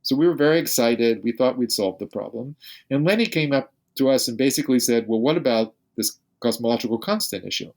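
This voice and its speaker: An older man, a physicist guest in an unscripted podcast interview, speaking haltingly with many restarts and repeated words. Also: mid-range voice, darkish tone.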